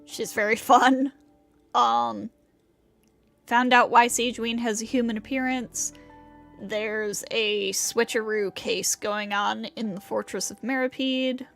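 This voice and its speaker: A woman livestreamer chatting casually and a bit haltingly while playing a game. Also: fairly high pitch, fairly even intonation, creaky, fairly bright tone, soft background music.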